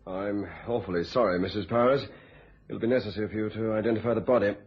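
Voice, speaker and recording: An actor playing a courteous, businesslike police inspector in a radio thriller, with a British accent and a polite, formal manner. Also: male voice, on the low side, steady tone, quick pace, natural voice. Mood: grave, apologetic. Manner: polite, formal, matter-of-fact. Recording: dull lo-fi radio sound.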